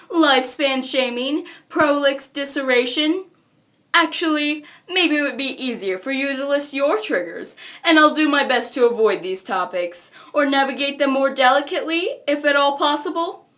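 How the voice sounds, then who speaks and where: A woman's voice: sits high, fairly bright tone. A woman voicing a preachy, verbose young troll who lectures formally and carefully, for a cartoon character reel. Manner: pedantic, formal, measured enunciation.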